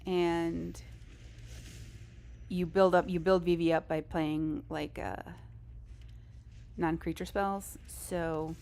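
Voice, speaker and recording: A woman's voice: on the low side, flat delivery, slow, vocal fry, pauses often, darkish tone. A woman live streamer chatting casually over a game, in a rambling, informal and self-deprecating way. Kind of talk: casual gaming stream chat.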